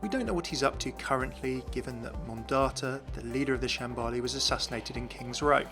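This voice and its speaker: A man's voice: mid-range voice, fast talker, over music. A man giving an explanatory voice-over as the host of a game lore series.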